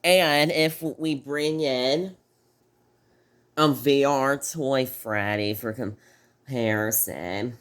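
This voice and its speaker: Slow, halting man, voice off from sickness. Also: mid-range voice, fairly bright tone. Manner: halting, rambling, frequent restarts. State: sick, voice a little off. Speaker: toy review host. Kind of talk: casual video review.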